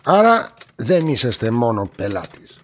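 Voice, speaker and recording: An actor playing an insistent police interrogator in a radio play, formal and pressing. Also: male voice, medium pitch, sing-song, a little hiss or hum, close to an everyday voice.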